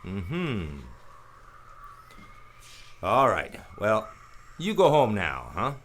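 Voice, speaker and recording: A man's voice: fairly low pitch, huge pitch swings, very slow, fairly bright tone, slight background noise, exaggerated voice. A man playing a cynical, wisecracking hard-boiled private detective in a noir radio play, wry and slangy. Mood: breezy.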